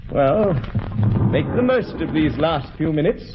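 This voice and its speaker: An actor playing a calm, villainous doctor, polite and clinical, in 1940s radio drama style. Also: male voice, fairly high pitch. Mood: coldly menacing. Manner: polite, measured, hesitant pauses, clinical. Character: calm, cold, calculating villainous male doctor, smugly scientific. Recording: muffled, noisy vintage radio recording.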